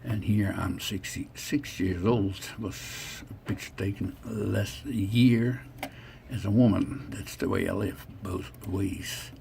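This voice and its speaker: A man in his sixties from the Netherlands, with a Dutch accent, narrates his life story over photos in short, halting phrases. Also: lowish voice, creaky, a little mellow, slight background noise. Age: 60s.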